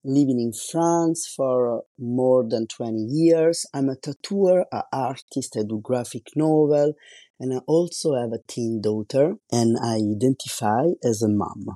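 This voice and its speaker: A man with an Italian accent talks through a solo podcast at an unhurried, careful pace, stopping to hunt for words in a language that isn't his first. His voice is mellow and dark, recorded close and dry with no room sound. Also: mid-range voice, dry recording. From Italy. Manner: slow, careful, searching for words. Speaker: podcast host.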